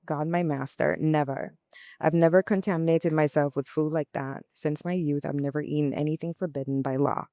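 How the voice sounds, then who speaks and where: Female scripture reader, clear resonant voice, dry close sound, measured expressive delivery. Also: deep voice, no echo. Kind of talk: expressive scripture reading aloud.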